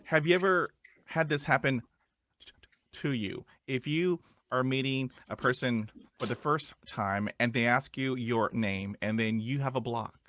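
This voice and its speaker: A man's voice: medium pitch, measured pace, fairly bright tone, no echo. A middle-aged man who stutters, hosting a podcast, chatting with many fillers. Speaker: podcast host. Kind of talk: casual interview talk.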